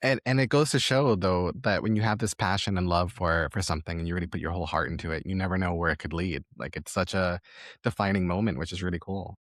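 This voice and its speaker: Male interviewer. Lowish pitch, dry recording, conversational, admiring and reflective delivery. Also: fast talker, a bit bright, no echo. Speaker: podcast host interviewer.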